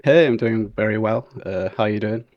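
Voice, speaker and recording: Easygoing young man, low voice. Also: brisk, dull audio. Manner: easygoing, relaxed answers with fillers. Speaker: guest, game QA analyst. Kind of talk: casual interview chat.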